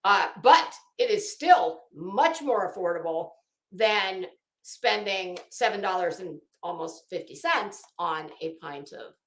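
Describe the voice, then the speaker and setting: A woman's voice: mid-range voice, lively intonation, takes it slowly, roomy sound. A woman hosting a casual livestream, chatting to viewers in a rambling, opinionated, emphatic way. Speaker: livestream host.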